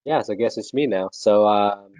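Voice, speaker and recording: A man's voice: on the low side, normal speed, darkish tone, dry recording. A man from China introducing himself on a webinar, easygoing and a little hesitant. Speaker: panelist. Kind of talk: casual webinar chat.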